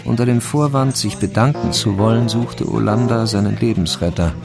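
A man giving measured, composed literary narration for a radio crime drama. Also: lowish voice, creaky, music in the background.

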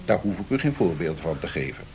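An actor playing a learned economics professor, speaking formally and deliberately, explaining and cautioning in a radio play. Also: male voice, sing-song, darkish tone. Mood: explanatory, measured. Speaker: professor answering questions. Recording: 1970s radio recording with faint rumbling noise.

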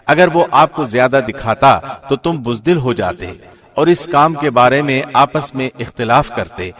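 A man reads a scripture translation from a script in a measured, formal way. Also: medium pitch, animated, a crowd in the background. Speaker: reader of Urdu Quran translation.

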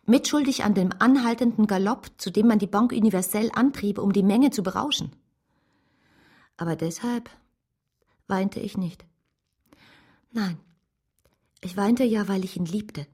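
Actress as proud, lovesick gentlewoman. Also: mid-range voice, normal speed, long pauses, breathy edge, lightly acted. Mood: grieving. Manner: earnest, emotional. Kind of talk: German radio drama, dialogue and first-person narration.

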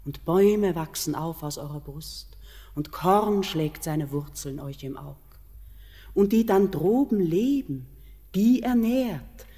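Otherworldly mountain queen. Low, slow, solemn and yearning, in a cavernous reverb. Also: mellow, slightly rough. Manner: solemn, measured, otherworldly declamation. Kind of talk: classic German radio verse drama, declaimed.

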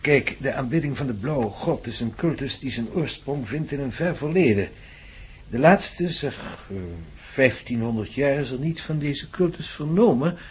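An actor playing a courteous professor in a radio drama, speaking in a grave, measured, formal manner with a husky voice. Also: male voice, mid-range voice, poor audio. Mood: grave, explanatory. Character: grave, courteous male professor explaining ominous mysteries.

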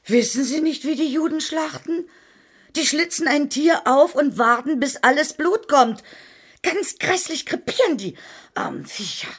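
An actress playing a spiteful, gossiping older woman in a German radio drama, giving insistent and halting testimony in a dramatic way. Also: sits high, animated. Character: older female servant broker, spiteful, gossiping accuser.

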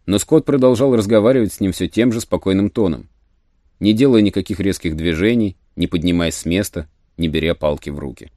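A man narrating an adventure story in a measured, even storytelling manner. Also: low voice, no echo, studio quality. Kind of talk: scripted narration of a radio play.

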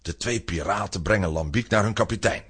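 A man's voice: sits low, brisk, noisy background. A man narrating a children's radio play in a scripted, dramatic storytelling style.

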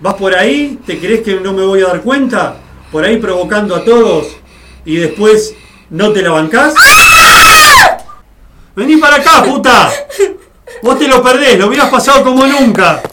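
A man's voice: sits high, animated, moderate pace, long pauses, echoey room, shouting, background noise. A man with a Rioplatense Argentine accent playing a crude, aggressive, threatening street harasser in a radio drama. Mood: angry, taunting. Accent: Rioplatense Argentine Spanish. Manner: threatening, taunting.

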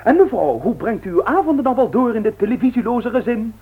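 An actor playing an indignant, sarcastic teenage schoolboy in a theatrical, mocking way, in a 1960s radio play. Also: male voice, very high voice, animated, fast talker, husky, heavily acted. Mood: mocking, exasperated. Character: sarcastic teenage schoolboy son, indignant about his old-fashioned family. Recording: narrow, tinny 1960s radio recording.

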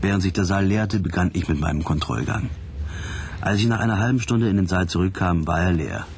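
An actor playing a bored, sardonic detective who narrates a radio crime play in a deadpan, wry way. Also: male voice, sits low, brisk, slight background noise. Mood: sardonic, suspenseful.

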